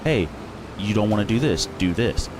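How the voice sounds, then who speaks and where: Young male streamer, low-pitched, loose rambling chat, recorded at home with a clear background hum. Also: animated, normal speed. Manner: rambling, chatty, lots of fillers and self-corrections. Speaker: gaming streamer. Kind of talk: casual chat while streaming a farming game, answering chat.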